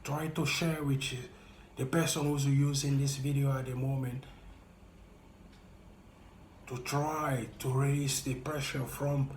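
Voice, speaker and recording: Man, French accent, slow and earnest direct address, echoey room with faint noise. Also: mid-range voice, little pitch movement. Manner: slow, earnest, halting and repetitive. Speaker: YouTuber. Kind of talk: heartfelt direct-to-camera talk.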